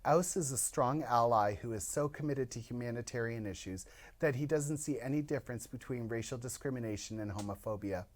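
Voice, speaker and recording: A man co-hosting a podcast, reading prepared introduction remarks in an even way. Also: mid-range voice, a little fast. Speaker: podcast co-host. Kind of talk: scripted show introduction.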